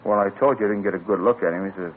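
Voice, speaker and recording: A man's voice: fairly low pitch, rapid-fire, lightly acted. A man playing a grumbling, plain-spoken truck rental manager in a 1950s radio drama, on a tinny old broadcast with faint hiss. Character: harried middle-aged male truck rental manager.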